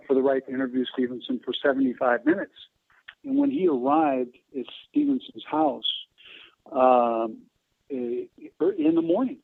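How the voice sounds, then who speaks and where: An American man of around seventy telling stories in an interview, halting and digressive, often pausing mid-sentence. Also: mid-range voice, creaky, dry recording. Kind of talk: conversational interview storytelling. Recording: narrow phone-like line.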